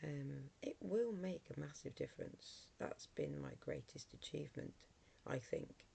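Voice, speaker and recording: A middle-aged vlogger with a hoarse voice from a cold, talking casually to camera with many ums and restarts. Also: medium pitch, unhurried, warm tone, slight background noise.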